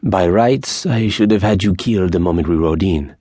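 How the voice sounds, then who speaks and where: A man voicing a sociable yet menacing bandit leader in an audiobook, smooth and deliberate. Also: low voice, warm tone, no echo, studio quality. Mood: amused, curious.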